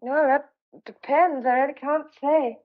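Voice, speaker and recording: Woman as young farmer's daughter, high voice, eager, hospitable, fussing, muffled radio play sound. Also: steady tone, measured pace. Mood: flustered, hospitable. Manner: polite, eager, slightly flustered. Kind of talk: audio drama acting. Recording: dull lo-fi audio.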